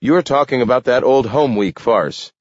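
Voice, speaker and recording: A man's voice: mid-range voice, normal speed, no room sound, highs cut off, pitched above the performer's own voice, lightly acted. A man voicing a combative, scornful city alderman in a story, heated and declamatory.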